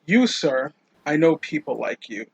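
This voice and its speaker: A man playing a blunt, distrustful former prisoner in a tabletop game, speaking deliberately and confrontationally. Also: on the high side, sing-song, vocal fry, slightly rough, no room sound, lo-fi sound, exaggerated voice. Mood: defiant, resentful. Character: blunt, distrustful big male ex-prisoner with grudging honour.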